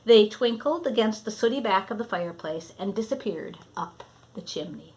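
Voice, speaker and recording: A woman reading a children's story aloud expressively, with deliberate phrasing that sometimes drops to a hush. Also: mid-range voice, expressive, rough recording. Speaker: storybook reader.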